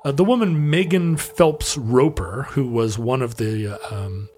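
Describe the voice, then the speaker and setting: A middle-aged man, co-hosting a podcast and telling stories casually in a halting, wry way. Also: mid-range voice, darkish tone, slight background noise. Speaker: podcast co-host. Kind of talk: casual conversational storytelling.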